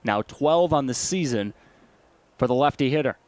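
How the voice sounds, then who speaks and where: A man's voice: mid-range voice, expressive, moderate pace, a little mellow, dry recording. A man with an American accent calling baseball play-by-play as a live broadcast commentator.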